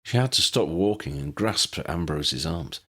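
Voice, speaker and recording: A man's voice: very deep voice, animated, moderate pace, a bit bright, breathy edge. A man reading a scripted story aloud as an audiobook narrator.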